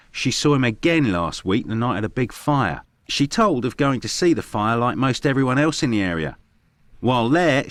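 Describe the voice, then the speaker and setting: An actor playing a frank, measured Victorian clinic doctor in a video game, formal and matter-of-fact. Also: male voice, on the low side, a bit bright, dry recording.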